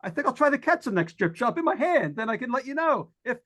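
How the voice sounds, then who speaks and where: A man acting a jittery radio broadcaster, wildly swooping pitch. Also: very animated, fairly bright tone, exaggerated voice. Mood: mounting dread. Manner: stammering, halting, rambling with repeated words. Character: nervous, lonely male radio broadcaster unravelling in a haunted house. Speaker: actor in old-time radio play. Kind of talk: 1940s radio suspense acting, live monologue.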